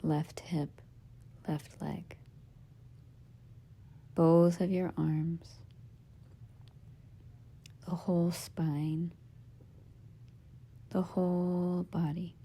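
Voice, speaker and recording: Female meditation guide, husky half-whisper with creaky edges, slow and soothing. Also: lowish voice, flat delivery. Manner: gentle, soothing, measured instructions in short phrases with pauses. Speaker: yoga nidra meditation teacher.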